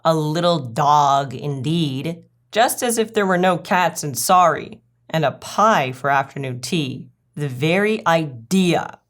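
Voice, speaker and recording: A woman's voice: low voice, slow pace. A haughty lady cat shopkeeper from a storybook, as a woman narrator plays her. She is gossipy and disdainful, stretching out her words with indignation.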